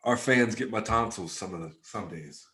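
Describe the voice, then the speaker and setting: A man's voice: lowish voice, normal speed, sharp tone. A man with a stuffed-up, congested voice, telling stories in a laid-back, slangy way as a podcast co-host. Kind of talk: casual chat.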